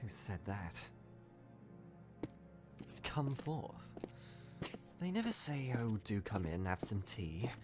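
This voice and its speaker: Guy doing a daring lady adventurer in a comic dub, lowish voice, dry quips, music behind. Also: sing-song, slow pace, pauses often. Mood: wry. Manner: wry, quipping, talks to self. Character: witty, daring female adventurer and archaeologist, unflappable. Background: clear music.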